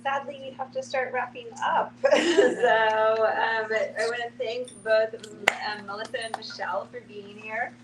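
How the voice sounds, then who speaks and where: A woman's voice: on the high side, steady tone, measured pace, few pauses. A woman moderator informally wrapping up a live discussion and thanking the speakers. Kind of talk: event wrap-up announcement. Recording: echoey, very noisy room, distant mic.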